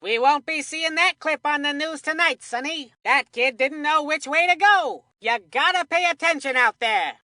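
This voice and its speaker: An actor voicing an excitable, folksy cartoon commentator calling kids' baseball with corny, punchy one-liners. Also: sits high, no echo, studio quality, heavily acted. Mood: wry, dismayed. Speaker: sports commentator.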